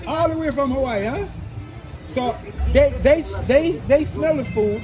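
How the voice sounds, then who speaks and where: A man's voice: extremely high pitch, a little slow. A man who owns a restaurant, chatting casually into his phone camera as he walks between outdoor tables and interviews diners. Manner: lively, chatty, repeats himself. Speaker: restaurant owner and cook. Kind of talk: casual video walk-through interviewing diners. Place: outdoor street café tables. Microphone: phone camera held by hand. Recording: loud music in background. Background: loud reggae music.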